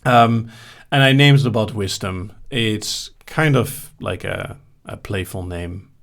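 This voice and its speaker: Low gravelly man. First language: Dutch. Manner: calm, measured, dry humor. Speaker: hypnosis podcaster answering live chat questions. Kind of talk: casual live Q&A reading chat questions.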